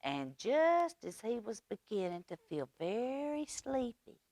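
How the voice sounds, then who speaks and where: A woman reading aloud at a children's storytime, expressive and using simple phrasing for small children. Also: a little high, slow, no room sound.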